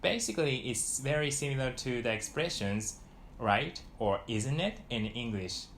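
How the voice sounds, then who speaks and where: A young Japanese man with a Japanese accent explains a lesson in a calm, mid-range voice. He says each Japanese example faster and louder than the rest. He is recorded close on a lapel mic in a small indoor room. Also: medium pitch, slight background noise. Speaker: language teacher. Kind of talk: scripted lesson to camera.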